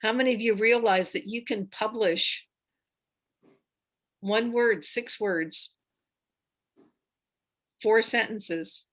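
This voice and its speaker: A woman's voice: fairly high pitch, slow pace, long pauses, a bit bright. Chatty woman on a video call. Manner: chatty and enthusiastic, with fillers. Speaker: workshop presenter. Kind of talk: casual talk on a video call.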